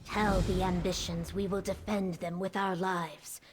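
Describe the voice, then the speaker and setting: A woman voicing a steadfast female lizard-folk legion commander in a video game, measured, solemn and formal. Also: lowish voice, flat delivery, over music, close to an everyday voice. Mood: grave, resolute. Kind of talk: video game character acting.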